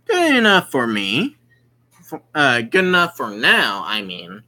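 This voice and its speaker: A young man casually commenting on a visual novel and reading its text aloud, slangy and excitable. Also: mid-range voice, unhurried. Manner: slangy, excitable, exclaims often. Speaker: let's play gamer.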